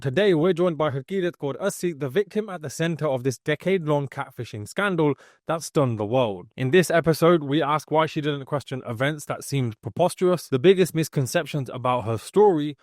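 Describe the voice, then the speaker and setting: A man's voice: mid-range voice, no echo, clean studio sound. A man hosting a podcast, reading a scripted intro and asking casual questions full of "like" fillers. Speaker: podcast host.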